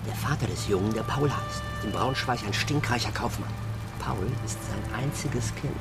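An actor playing a scheming, cold-blooded robber with a sly, callous manner in a German children's radio play. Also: male voice, mid-range voice, moderate pace, loud music behind the voice, lightly acted.

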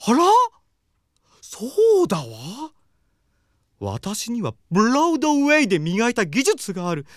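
A man's voice: high voice, dry recording, studio quality. Flamboyant effeminate man, swooping theatrical delivery. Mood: triumphant. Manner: campy feminine speech, dramatic pauses and swells. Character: flamboyant, theatrical, effeminate middle-aged male performing-arts teacher. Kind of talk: anime audio drama acting.

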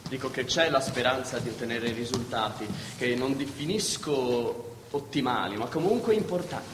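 A man's voice: medium pitch, moderate pace, lightly acted. A man acting on stage as an overcautious medical spokesman, guarded, wordy and full of qualifications. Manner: guarded, circumlocutory. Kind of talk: live theatre acting. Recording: noisy, echoey theatre hall.

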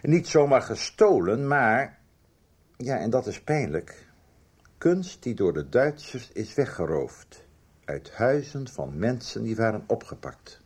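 Radio soap role: older male publisher, husky, animated intonation, halting confessional storyteller. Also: mid-range voice, full character voice. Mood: grave, pointed. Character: older male book publisher recounting a guilty past. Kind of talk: radio drama dialogue, reminiscing.

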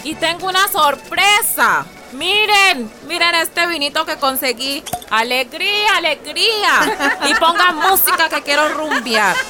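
Fiery colombian activist lady, high voice, outspoken street talk, radio soap with music under it. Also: animated, measured pace. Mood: cheerful. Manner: outspoken, colloquial, defiant. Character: fiery, warm-hearted neighbourhood activist woman and mother. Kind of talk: radio drama acting. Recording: music behind the voice.

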